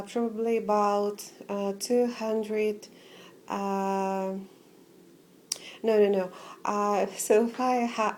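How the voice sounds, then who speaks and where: Slow hesitant woman with a Russian accent. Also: medium pitch, dark tone, slight background noise. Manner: hesitant, many fillers and restarts. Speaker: orchid-growing vlogger. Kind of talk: casual home vlog.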